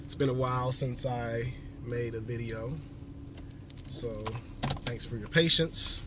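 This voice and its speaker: A man with a cold, slightly scratchy, explaining a logical fallacy in a halting, casual monologue full of fillers and restarts. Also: mid-range voice, steady tone. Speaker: video blogger.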